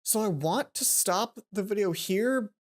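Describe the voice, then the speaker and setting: A woman's voice: medium pitch, a little slow. A woman hosting a let's play, reading a visual novel aloud expressively and adding casual, chatty commentary. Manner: expressive, acts out lines, then chatty asides. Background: game music.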